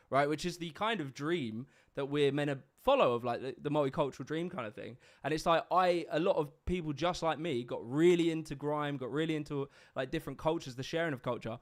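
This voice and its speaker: A young British man with a mixed multicultural London accent, hosting a livestream interview in a casual, rambling way with lots of fillers. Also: a little high. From Britain. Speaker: host.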